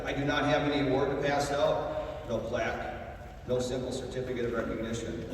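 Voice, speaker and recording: A man delivering a formal, prepared tribute speech in a measured, solemn way. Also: mid-range voice. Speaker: ceremony speaker. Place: large indoor hall at a museum. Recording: roomy echoing hall, distant mic, faint noise.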